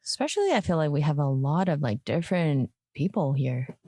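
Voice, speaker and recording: A woman's voice: deep voice, animated, fairly quick, warm tone, breathy edge, dry recording, studio quality. A woman from Hong Kong co-hosting a podcast, chatting casually with lots of fillers and laughter. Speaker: podcast co-host. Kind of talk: casual chat.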